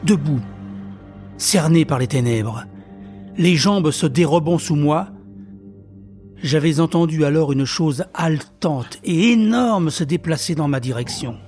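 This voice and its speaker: A man's voice: a little high, animated, measured pace, a little mellow, faint music behind. An actor playing an anxious, self-deprecating man of thirty-one who tells his own story in a theatrical audio drama. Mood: tense, suspenseful. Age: 30s. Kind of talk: first-person audio drama narration.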